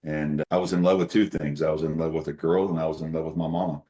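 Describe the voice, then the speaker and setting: A middle-aged man with a very deep bass voice, a company CEO telling candid, folksy stories with self-deprecating humor in an interview. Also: level tone, quick pace, mellow. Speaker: CEO guest. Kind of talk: casual interview conversation.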